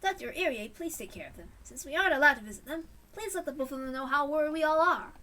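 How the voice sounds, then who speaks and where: A woman's voice: sits high, animated, fast talker, sharp tone, pitched above the performer's own voice. A woman voicing an anxious, earnest villager with an emphatic manner in a story reading. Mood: earnest concern. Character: anxious, earnest villager asking after sick children.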